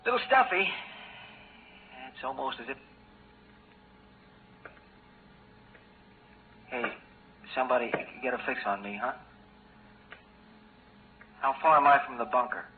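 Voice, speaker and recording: An actor playing a carefree, absent-minded young scientist radioing from the moon, rambling in a singsong way, in 1950s radio drama style. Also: male voice, mid-range voice, very animated, normal speed, pauses often, close to an everyday voice. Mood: woozy, worried. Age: young adult. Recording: tinny, band-limited, hissy 1950s radio recording.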